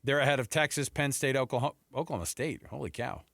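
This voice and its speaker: A man's voice: medium pitch, fast, vocal fry, a bit bright, no room sound. An American man talks sports as a show co-host. His delivery is informal and a bit rambling, full of asides, with a mid-range voice and a dry, close sound. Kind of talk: conversational sports talk.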